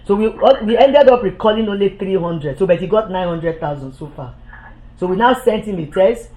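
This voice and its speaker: A woman's voice: medium pitch, lively intonation, moderate pace, dark tone, low-quality recording. A woman giving an impassioned political monologue, heated and accusatory, repeating herself and stumbling over restarts when agitated.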